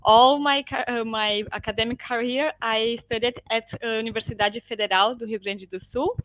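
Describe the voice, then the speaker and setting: A Brazilian woman with a fairly high voice and a Brazilian Portuguese accent presents to an online audience. She hesitates often, fills gaps with "uh" and rephrases mid-sentence. Her voice sounds close and dry over a video call. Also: fairly even intonation, moderate pace, fairly bright tone, no echo. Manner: hesitant, many fillers and restarts, tag "okay?". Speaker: guest speaker, lean project manager and professor. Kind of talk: webinar presentation.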